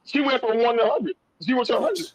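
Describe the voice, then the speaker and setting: A man telling a personal story casually as a guest, animated and folksy, repeating phrases and acting out conversations. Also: extremely high pitch, fast, dry recording, low-quality recording.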